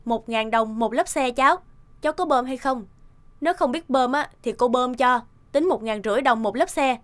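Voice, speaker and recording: A woman playing a sharp-tongued but caring young mother who minds a repair shop, in an expressive animated cartoon dub. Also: high-pitched, moderate pace, lightly acted. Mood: stern, dismissive. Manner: expressive, scolding or fussing. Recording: music behind the voice.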